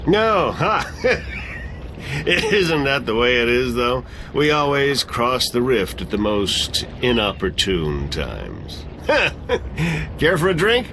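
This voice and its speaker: A man's voice: mid-range voice, huge pitch swings, takes it slowly, noisy background, heavily acted. An actor playing a sardonic middle-aged exile who laughs at his own jokes and loves whiskey.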